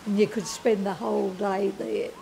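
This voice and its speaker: Woman in her nineties, warm gravelly voice, plain fond recollections. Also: medium pitch, level tone, normal speed, slight background noise, rough recording. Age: 90s. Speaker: interviewee. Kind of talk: reminiscing interview.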